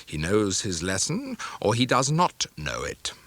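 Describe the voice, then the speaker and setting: A man voicing a cold, domineering stepfather in an audiobook, firm and measured. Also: on the low side, huge pitch swings, a bit bright, no echo, exaggerated voice. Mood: controlling.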